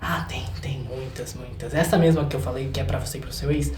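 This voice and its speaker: A man's voice: mid-range voice, steady tone, fast talker, background noise. A young Brazilian man chats as an interview guest in an echoey room. His talk is informal and slangy, with a self-joking streak. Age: young adult. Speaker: guest, singer-songwriter. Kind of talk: casual interview chat.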